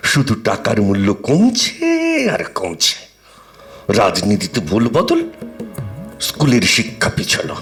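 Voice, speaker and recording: Grumbling middle-aged husband, animated delivery. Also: male voice, on the low side. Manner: theatrical, grumbling, sarcastic. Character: grumbling middle-aged Bengali husband, miserly, teasing, easily flustered. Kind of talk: audio drama acting.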